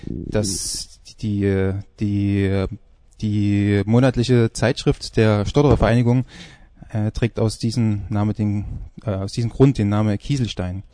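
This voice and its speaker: A man presenting informally on a music radio show, halting and searching for words as he goes. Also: on the low side, level tone, warm tone, no room sound, clean studio sound.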